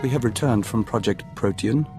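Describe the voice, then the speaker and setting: Actor as alien-joined man, formal, measured, calm, lower pitch, sci-fi game, soft music. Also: natural voice. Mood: calm, guarded. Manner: calls himself "we", measured. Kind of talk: video game voice acting.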